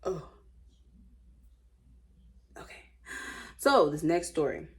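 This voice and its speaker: Low-voiced sassy American woman. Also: sing-song, slow pace. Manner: informal, sassy, blunt asides. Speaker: host reading online stories aloud. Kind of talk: casual reading with running commentary.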